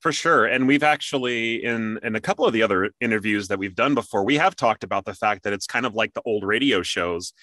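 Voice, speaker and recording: A man's voice: lowish voice, brisk, hardly any pauses, pushed and bright, no echo. A man hosting an interview show in an upbeat, chatty, promotional way.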